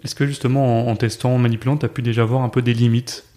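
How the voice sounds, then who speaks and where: A man's voice: mid-range voice, level tone, fast, dark tone. A man hosting a podcast, asking a guest long, casual questions. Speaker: podcast host, interviewer.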